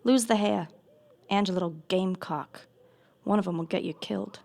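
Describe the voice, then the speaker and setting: A woman playing a tough, sly sword instructor in a fantasy audio drama, with a creaky voice and a curt, taunting manner. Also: mid-range voice, normal speed, darkish tone, close to an everyday voice. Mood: curt, mocking.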